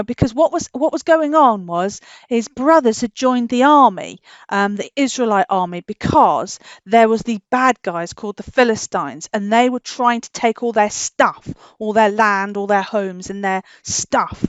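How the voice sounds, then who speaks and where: A woman hosting a children's storytime, telling a tale informally in simple, animated explanations. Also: a little high, normal speed, clean tone, no echo, lo-fi sound. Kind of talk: informal storytelling to a puppet dog.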